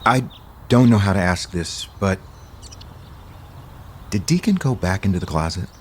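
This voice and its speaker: An actor playing a gentle, earnest, considerate man in an audio soap opera. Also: deep voice, expressive, unhurried, slight background noise. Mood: hesitant, earnest.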